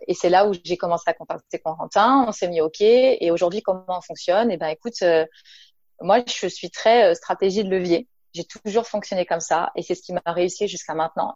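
A woman's voice: lowish voice, fairly quick, darkish tone, no room sound, muffled recording. A woman from Nice, a naturopath and entrepreneur, talking casually in an interview with frequent hesitations and an informal tone. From Nice, south of France. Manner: chatty, many fillers, addresses listener informally.

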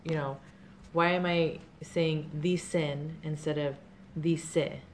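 Slow, low-voiced woman explaining patiently. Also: fairly even intonation, very noisy. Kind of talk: casual tutoring.

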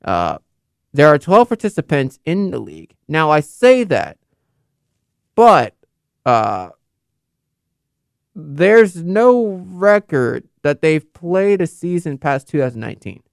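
A man's voice: fairly high pitch, sing-song, takes it slowly, gravelly, pauses often, dry recording, clean studio sound. A young man in casual, unscripted commentary, rambling and correcting himself with many fillers. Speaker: football video host.

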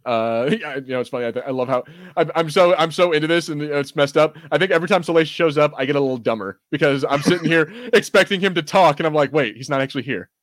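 A man's voice: a little high, quick pace, hardly any pauses, no echo, clean studio sound. A man hosting a podcast, chatting casually about fandom in an enthusiastic, rambling, jokey way. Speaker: podcast host. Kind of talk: casual fan discussion.

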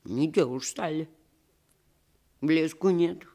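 A woman's voice: deep voice, slow, dark tone, heavily acted. An actress playing a doting, scolding old grandmother in a radio play, with rustic speech and a folksy, fussing manner. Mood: grumbling, relenting.

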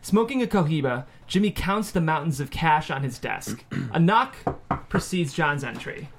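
A man's voice: on the high side, expressive, normal speed, vocal fry. A man reading out the stage directions as narrator at a screenplay table read.

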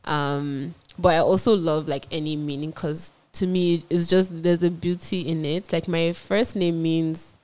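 A woman's voice: deep voice, steady tone, measured pace, hardly any pauses, a little mellow. A woman co-hosting a podcast who chats casually, laughs often and responds enthusiastically. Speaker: podcast co-host. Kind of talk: casual chat.

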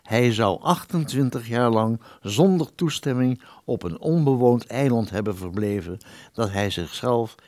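An actor in a radio play playing the formal, wronged ghost of an eighteenth-century writer, measured and earnest. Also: male voice, medium pitch, expressive, a little mellow, no echo, studio quality, lightly acted.

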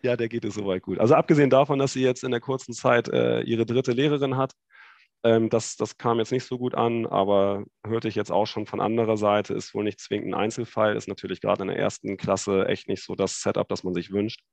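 A man in his thirties from Hamburg with a northern German accent, chatting as a guest on a video call, relaxed and hesitant with many fillers. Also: on the low side, fairly quick, gravelly, dry recording. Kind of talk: casual chat.

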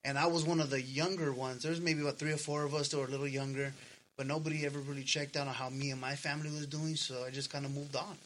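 A man's voice: fairly high pitch, level tone, fast talker, a bit bright, a little hiss or hum, poor audio. A man in his thirties chatting casually as a podcast co-host, earnest and slangy, swearing freely. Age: 30s. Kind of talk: casual personal chat.

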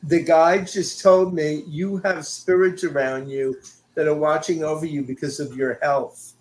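A middle-aged man from New York and Connecticut, a psychic medium chatting softly and keeping his voice low on a live online show. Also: steady tone, low-quality recording. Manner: soft-spoken, deliberately keeps voice low. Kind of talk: live online chat and readings.

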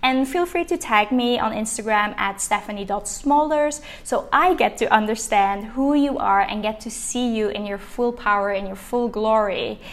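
A woman's voice: on the high side, moderate pace, hardly any pauses. Chatty woman hosting a podcast. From Belgium. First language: Dutch. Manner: warm, chatty and encouraging. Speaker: podcast host, business coach. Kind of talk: solo podcast monologue.

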